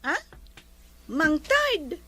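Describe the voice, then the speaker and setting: A woman's voice: high-pitched, big pitch swings, very slow, pushed and bright, heavily acted. A woman playing a guarded village shopkeeper in a comedy drama, questioning and matter-of-fact. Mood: reluctant, wary. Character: guarded female village shopkeeper selling on credit reluctantly.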